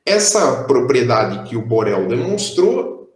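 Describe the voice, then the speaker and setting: A young man gives a math lecture over a video call. He explains steadily and fills his pauses with "é". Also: mid-range voice, roomy sound, poor audio. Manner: explanatory, steady, with frequent "é" fillers. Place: indoor room, on a video call.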